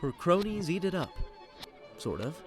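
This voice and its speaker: A man playing a chatty insider who narrates a high school comedy, confiding directly in the listener. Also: big pitch swings, a little slow. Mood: wry, animated. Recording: music behind the voice.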